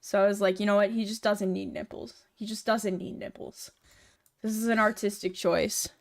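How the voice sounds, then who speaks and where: A woman's voice: medium pitch, fairly even intonation, moderate pace, vocal fry. Young female streamer, feminine voice, casual rambling chat with many fillers. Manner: rambling, filler-heavy, chatty. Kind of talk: casual chat while working on a model.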